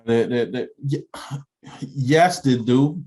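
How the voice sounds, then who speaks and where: A man co-hosting a podcast, talking reflectively in casual chat with halting restarts. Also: medium pitch, a little mellow. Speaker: podcast co-host.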